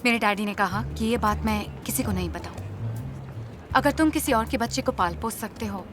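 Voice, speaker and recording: An actress playing a frank young bride-to-be, candid and matter-of-fact, in a film comedy. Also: female voice, medium pitch, a little fast, natural voice. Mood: frank, confessing. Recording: music behind the dialogue.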